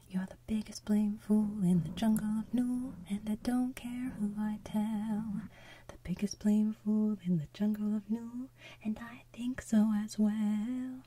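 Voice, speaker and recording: A woman's voice: medium pitch, fairly even intonation, takes it slowly, mellow, slight background noise, natural voice. A woman voicing a sour, scornful female kangaroo in a mocking whisper. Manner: mocking and snide.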